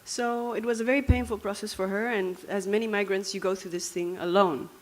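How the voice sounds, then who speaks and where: A woman's voice: mid-range voice, fairly even intonation, fairly quick, rough recording. A young woman from Namibia with a Dutch accent, giving a reflective, conversational personal talk with frequent fillers. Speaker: speaker.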